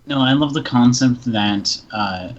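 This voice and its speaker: A man's voice: medium pitch, a little slow, lo-fi sound, background noise. A man casually commenting on a story during a group reading. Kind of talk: casual chat.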